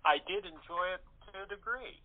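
A man speaking in a halting, plain-spoken way as an interviewed director. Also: sits high. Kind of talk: casual interview chat. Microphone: telephone or internet call. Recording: thin telephone-like sound.

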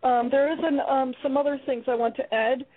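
Woman, high voice, hesitant, dry phone-line sound. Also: fairly even intonation, brisk, dry recording. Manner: hesitant, lots of ums. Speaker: playwright and guest. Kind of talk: casual radio discussion.

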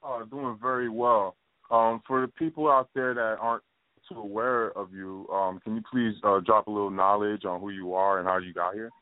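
A man's voice: on the low side, steady tone, moderate pace, dry recording. A man hosting a casual interview show, rambling with lots of fillers and repeats. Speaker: podcast host. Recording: tinny phone line.

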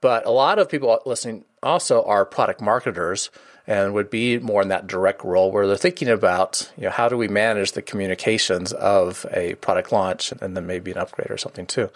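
A man hosting an interview podcast, asking questions in a quick, articulate, steady way. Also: lowish voice. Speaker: podcast host.

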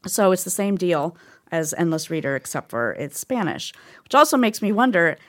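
Upbeat woman with a lowish voice. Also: very animated, normal speed. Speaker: podcast host. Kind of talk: casual conversational podcast talk.

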